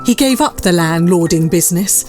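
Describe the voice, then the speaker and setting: A woman's voice: on the low side, lively intonation, normal speed, darkish tone, soft background music. A woman narrating a classic period story in a scripted, dramatic style.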